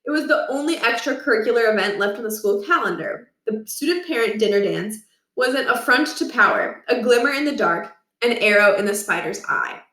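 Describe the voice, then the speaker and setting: A woman narrates a children's novel in a fairly high voice. Her intonation is very animated and deliberate, storyteller style. The room adds a clear echo around her words. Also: distant mic. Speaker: read-aloud reader. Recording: roomy, echoing room.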